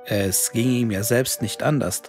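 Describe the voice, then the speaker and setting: Slow-paced man narrating a horror story. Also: medium pitch, a little mellow, faint music behind. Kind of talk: scripted creepypasta narration.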